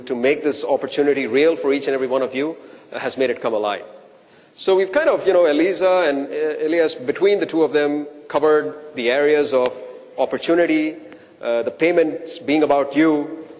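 A man hosts a conference in a somewhat high voice. He talks casually, stumbling over his words and repeating himself. His voice carries the long echo of a hall. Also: darkish tone. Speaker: event host introducing speakers. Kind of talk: conference emcee talk.

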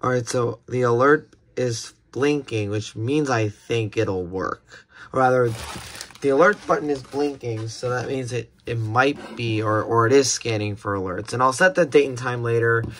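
A man's voice: medium pitch, normal speed, a bit bright. A young American man chatting casually through a hands-on unboxing, often repeating words and restarting. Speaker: video creator unboxing weather radios. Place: indoors at a desk. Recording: clear background hiss and handling clicks.

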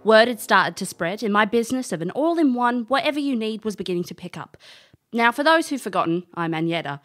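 A woman's voice: fairly high pitch, lively intonation, brisk, a bit bright, faint music behind. A woman playing a breezy, brash elf adventurer who narrates her own tale in an audio drama. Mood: cheeky. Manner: informal, brash. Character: cheeky, breezy female elf adventurer recalling her youth.

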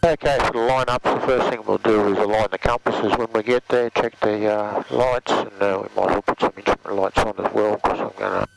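A man's voice: on the low side, fairly even intonation, fairly quick, vocal fry, few pauses. A man, a flight instructor, explaining things and making radio calls from a small plane cockpit. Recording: noisy light aircraft cockpit. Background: engine noise, clicks, radio.

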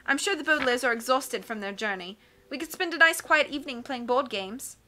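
A woman voicing a gentle young stepdaughter who speaks softly in a storybook reading. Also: high-pitched, expressive, brisk. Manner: soft, gentle.

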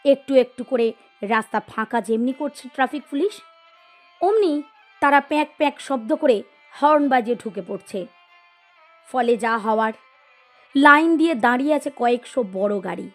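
A woman reading a scripted literary story as narrator, in an expressive storytelling style. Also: sits high, normal speed, long pauses. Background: music.

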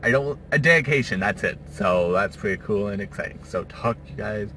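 Bright-voiced young man, rambling casual vlogger. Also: fairly low pitch, lively intonation. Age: 20s. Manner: halting, repetitive, trailing off into vague asides. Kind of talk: casual rambling vlog talk. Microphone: phone at arm's length. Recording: faintly noisy handheld phone recording.

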